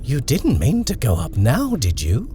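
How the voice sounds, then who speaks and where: A man voicing a bustling, fussy middle-aged female housekeeper who talks in a hurried, insistent flutter. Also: medium pitch, huge pitch swings, warm tone, a little hiss or hum, pitched above the performer's own voice. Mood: coaxing.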